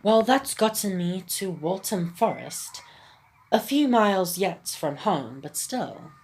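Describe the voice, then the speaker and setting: A woman's voice: mid-range voice, expressive, slow pace, a little mellow, slight background noise, rough recording. A woman with a phlegmy, sick throat reading a video game's dialogue for a proper, devoted male Dalmatian father in a plain voice. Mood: earnest. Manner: reads game dialogue aloud in a plain voice.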